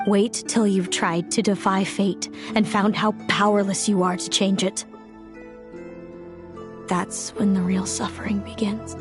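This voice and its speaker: A woman's voice: mid-range voice, level tone, a little slow, close to an everyday voice. An actress playing a cool, bitter young fae woman who resents her younger sister. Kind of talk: game voice acting. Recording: game audio with faint music.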